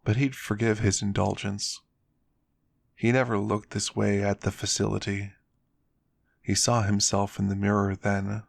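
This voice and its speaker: A man's voice: fairly low pitch, flat delivery, a little slow, gravelly, long pauses, no room sound. A man narrating a scripted story in an ASMR style.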